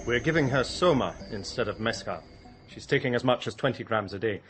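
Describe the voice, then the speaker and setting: An actor playing a callous doctor in a radio drama, clinical and condescending. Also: male voice, medium pitch, expressive, a little fast, soft background music, close to an everyday voice. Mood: detached.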